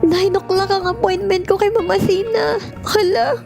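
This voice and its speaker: A woman playing an earnest, easily flustered young artist in a Filipino audio drama, expressive and with a Filipino accent. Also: very high-pitched, moderate pace, creaky. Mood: panicked. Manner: expressive, girlish, exclamatory. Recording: faint music behind.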